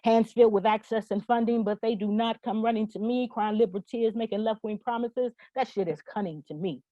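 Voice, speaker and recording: Woman, spoken word poet, soft dark timbre, cadenced emphatic delivery, roomy dull recording. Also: fairly high pitch, brisk. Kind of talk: spoken word poetry recital with rhythmic rhyming delivery.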